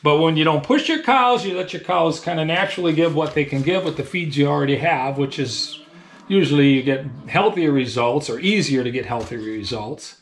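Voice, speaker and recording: A man's voice: fairly high pitch, expressive, brisk. A man in his thirties, a dairy farmer, explaining things in a casual, plain-spoken, folksy way in a small indoor work room. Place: small tiled milk room with steel tank, indoors.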